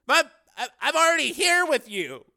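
An exasperated male news cameraman in an audiobook, acted out by a man, pitched very high and forceful. He is nervy and sarcastic, protesting with sharp agitation. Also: big pitch swings, normal speed, gravelly, full character voice. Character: nervous, exasperated, sardonic young-sounding male TV news cameraman.

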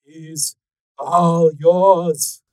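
Guy doing a cruel story villain, really drawn out threats, high and forceful, super dry sound. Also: level tone, no echo, poor audio. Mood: menacing. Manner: slow, drawn-out, threatening delivery. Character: menacing male villain Stalker, cruel interrogator of a dragon.

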